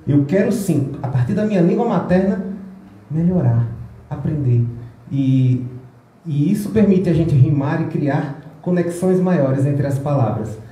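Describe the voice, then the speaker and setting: A man's voice: on the high side, expressive, measured pace, mellow. A man presenting a music video to a small audience in an indoor meeting room, talking casually and playfully with restarts, recorded on a phone across the room. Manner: chatty, rambling, with restarts and playful asides. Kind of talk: casual public talk. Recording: very echoey room.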